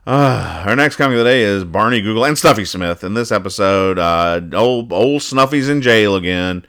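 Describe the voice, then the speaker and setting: A man hosting a casual podcast, talking through comic strips in a halting, mock-analytical way and reading out the dialogue. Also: fairly low pitch, expressive, fairly bright tone, smooth. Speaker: podcast host. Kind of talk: casual chat, describing comic strips and explaining the jokes.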